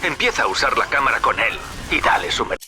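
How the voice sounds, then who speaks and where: An actor with a Castilian Spanish accent playing a wry, chummy opportunist who guides the player in a video game. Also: male voice, mid-range voice, very animated, fast, sharp tone, faint music behind. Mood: wry, instructing. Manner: familiar, sardonic, instructing. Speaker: radio guide character.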